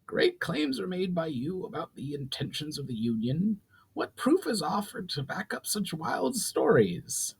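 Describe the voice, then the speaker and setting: A man's voice: high voice, huge pitch swings, a little slow, gravelly, breathy edge, pitched above the performer's own voice, exaggerated voice, low-quality recording. A man voicing a suspicious, proud goblin leader in formal, challenging speech for a fantasy story. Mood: demanding.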